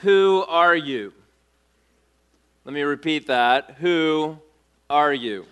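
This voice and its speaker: Male pastor, bright and crisp, measured delivery with repeated questions, preaching in a church. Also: on the high side, lively intonation. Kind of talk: sermon.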